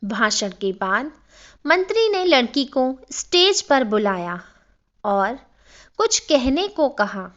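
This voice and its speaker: A woman with a high voice narrates a scripted, emotional moral tale. She moves slowly and in measured phrases, with plenty of melodic rise and fall. The recording is a little dull. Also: very animated, fairly bright tone. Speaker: storyteller, channel narrator. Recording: dull, lo-fi sound.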